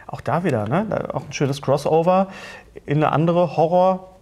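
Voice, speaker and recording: A man's voice: on the high side, normal speed, dull audio. A middle-aged man chatting casually as a talk show host, rambling along with joking asides. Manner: jokey, rambling, animated. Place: indoor studio set at a table.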